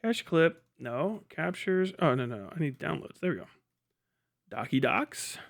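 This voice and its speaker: A man's voice: a little high, expressive, unhurried, creaky. A man hosting a casual livestream, rambling bluntly with lots of profanity and muttered asides to himself and chat. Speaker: livestream host.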